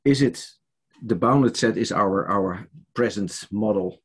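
Older Dutch-accented man, hesitant church-leader guest on a headset video call, husky and unhurried. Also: on the low side. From Netherlands. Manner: hesitant, many fillers and restarts. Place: home study with bookshelves.

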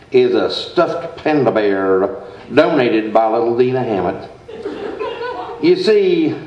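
Earnest small-town preacher , male actor, radio-play comedy, unhurried sermonizing, echoey and slightly dull stage sound. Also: mid-range voice, a little hiss or hum. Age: middle-aged. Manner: slow, deliberate, sermonizing delivery. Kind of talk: comic old-time radio sketch, read from script. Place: theater stage before a live audience. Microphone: stand microphone, heard in the hall.

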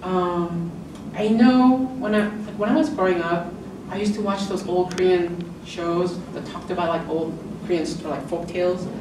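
A woman's voice: steady tone, normal speed. Middle-aged woman, chatty talk in an echoey room. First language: English. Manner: chatty, laughing, acting out quoted lines with pitch jumps. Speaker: author and illustrator giving a book talk. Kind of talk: informal presentation. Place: lecture room, indoors. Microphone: far camera in the room. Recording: roomy, distant camera audio with noise.

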